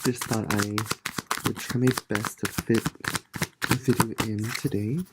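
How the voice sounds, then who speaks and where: A soft-spoken tarot reader with a stuffy, congested voice drifts from thought to thought in a relaxed card reading. Loud background noise and the clicks of shuffled cards fill the recording. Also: medium pitch, a little slow. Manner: soft-spoken, meandering, musing aloud.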